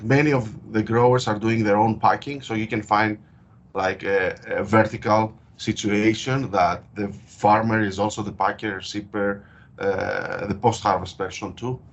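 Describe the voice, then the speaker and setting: A man from Greece with a Greek accent, speaking in an interview as a guest researcher and explaining his work with frequent hesitant pauses. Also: fairly low pitch. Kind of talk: interview over a call. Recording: dull lo-fi call audio.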